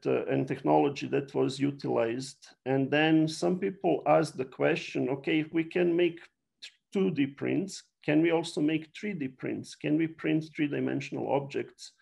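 A middle-aged man from Serbia with a Serbian accent, a native Serbian speaker, giving an explanatory online lecture with frequent halting pauses. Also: on the high side, gravelly, a little mellow, husky. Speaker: university biology lecturer. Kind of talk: online lecture to a general audience.

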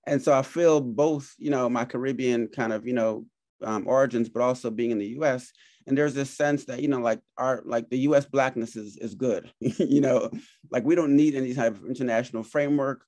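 A man's voice: mid-range voice, steady tone, a little fast, darkish tone, dry recording. A middle-aged man from Jamaica, moderating an academic talk over a video call, thoughtful and full of fillers. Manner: thoughtful, many fillers and hedges. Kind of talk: academic conversation. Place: home office.

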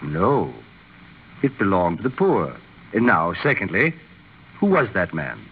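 An actor playing a serene, pious old bishop with a measured, solemn manner in a 1930s radio drama. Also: male voice, deep voice, huge pitch swings, breathy edge, exaggerated voice. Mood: calm, unruffled. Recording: dull, noisy 1930s radio recording.

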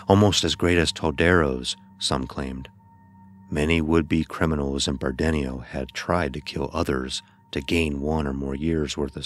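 A man playing a blind son who narrates his dark ordeal in a solemn, literary way, as horror drama. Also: extremely low pitch. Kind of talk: scripted first-person horror narration. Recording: voice over background music.

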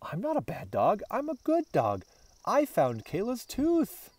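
An eager storybook dog detective, acted out by a man. The voice sits high and leaps around in pitch, excitable and earnest, like a pet who is easily delighted and loves food. The sound is close and dry. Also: measured pace, no echo, heavily acted. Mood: pleading. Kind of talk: first-person children's storybook narration.

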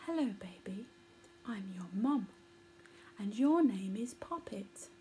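A woman voicing a gentle, wise mother elephant in a children's storybook, explaining things solemnly. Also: medium pitch, animated, takes it slowly, a little hiss or hum. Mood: gentle, reassuring.

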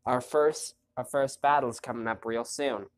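A man with a stuffy nose hosting a gameplay video, chatting casually and reading the on-screen story text aloud in a quiet, low voice. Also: fairly even intonation, measured pace. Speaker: let's play host.

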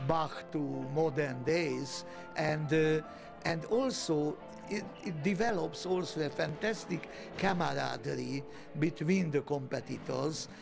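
A man's voice: on the high side, creaky. A man giving unscripted remarks in an interview. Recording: music under interview speech. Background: music.

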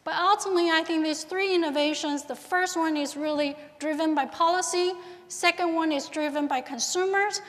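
A woman in her thirties giving a conference presentation, with somewhat halting phrasing. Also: sits high, fairly bright tone. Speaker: conference speaker, researcher. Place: large auditorium stage. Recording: echoey auditorium reverb.

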